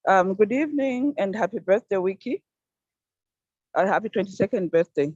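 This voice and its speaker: An older woman from Zambia giving an earnest, deliberate talk on an online conference call, stressing key words. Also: medium pitch, lively intonation, a little mellow, no room sound. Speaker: panel speaker. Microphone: video call. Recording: dull dry video call audio.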